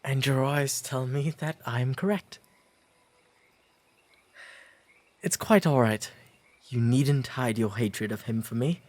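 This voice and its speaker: A man with an English accent playing a courteous, shy, bookish 19th century gentleman in a romantic audio drama, speaking formally and gently. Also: medium pitch, unhurried, no room sound, lightly acted. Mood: earnest, reassuring. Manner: formal, polite old-fashioned diction with hesitant, gentle delivery.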